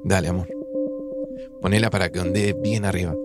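A man playing an older Argentine father in an audio drama, speaking in a gentle, wistful, reflective way. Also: low-pitched, fairly even intonation, fairly quick, loud music behind the voice, close to an everyday voice.

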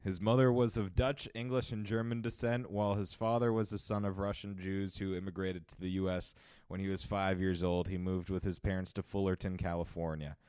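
A young man with a smooth, resonant, somewhat low voice chats about movies on a podcast. He is opinionated and jokey, often laughing. The sound is dry and close. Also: fairly even intonation, fairly quick, hardly any pauses, a little mellow, no room sound. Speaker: podcast co-host. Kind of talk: casual movie-review chat.

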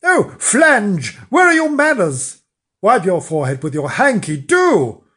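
Scolding storybook wife, performed by a male narrator: husky, animated delivery, domineering, impatient, full of brisk orders. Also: on the high side. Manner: nagging, exasperated, brisk commands. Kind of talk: children's storybook character voice.

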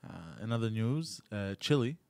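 A man co-hosting a podcast, bringing up news topics in casual chat, halting and often repeating words as he talks. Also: fairly low pitch, very animated, vocal fry, mellow, no echo. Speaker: podcast co-host.

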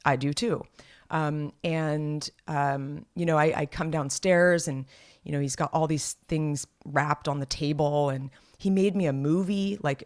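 Chatty woman with a slightly raspy voice. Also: low voice. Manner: chatty and rambling, many fillers and restarts. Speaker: podcast co-host. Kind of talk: casual chat.

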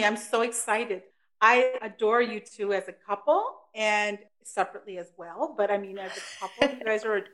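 An effusive woman in her fifties, a native Spanish speaker from the United States, with a voice of middling pitch. She talks with feeling and animation. Her tone is candid as she chats casually with her interviewers. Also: poor audio. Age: 50s. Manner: effusive, openly emotional, animated. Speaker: podcast guest, retired police officer.

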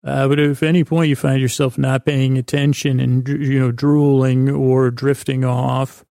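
A man hosts a sleep podcast in a creaky, relaxed voice, recorded close and dry. He meanders gently through his sentences, stumbling and restarting as he goes, with a soothing, quietly absurd bent. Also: mid-range voice, no echo. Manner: meandering, halting, stumbling over words. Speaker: podcast host. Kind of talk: rambling bedtime-story sleep podcast monologue.

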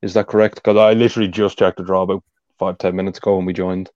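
An Irish man with a low, deep voice hosts a casual football podcast. He talks quickly and explains tactics at length. The recording is dry and a little dull, like a home podcast mic. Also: little pitch movement, a little mellow, dry recording. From Ireland. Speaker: podcast host.

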